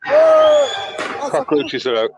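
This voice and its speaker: A man's voice: very high voice, huge pitch swings, drawn-out words, muffled recording, a loud crowd, heavy background noise. A Welsh man hosting a live stream and commenting casually on riot footage, rambling with crude, sarcastic asides. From Wales.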